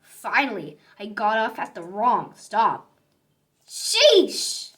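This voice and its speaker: A woman's voice: mid-range voice, wildly swooping pitch, fairly bright tone, pitched below the performer's own voice, full character voice. A woman voicing a dramatic little sour grape who tells its own story in a children's picture book read-aloud, with theatrical emphasis. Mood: exasperated. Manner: theatrical, exclaiming, drawn-out emphasis on words.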